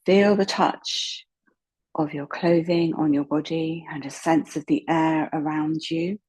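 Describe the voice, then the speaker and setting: A woman with a low-pitched, husky voice guides a relaxation session. She speaks softly and gently, leaving long pauses between her calm instructions. Her tone is breathy and never hurried. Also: rough recording. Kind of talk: slow guided meditation, soothing instruction.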